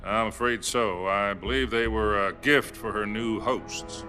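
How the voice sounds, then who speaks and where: An actor playing an American president in a game cutscene, calm and placating. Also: male voice, on the low side, a little slow, soft background music. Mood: explaining. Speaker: US president on a hotline call. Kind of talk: video game cutscene acting. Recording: game audio with faint score.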